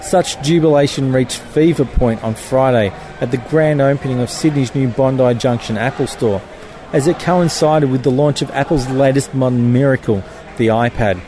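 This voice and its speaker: A man's voice: mid-range voice, normal speed, warm tone, a little hiss or hum. A man reading his own satirical column in a wry, mock-solemn way. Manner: wry, mock-biblical delivery. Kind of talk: satirical scripted narration. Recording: music bed under the voice.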